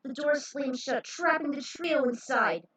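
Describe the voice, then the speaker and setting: A woman's voice: high-pitched, a little slow, very noisy. A woman reading a story aloud and adding casual commentary of her own. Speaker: narrator of a fan fiction reading.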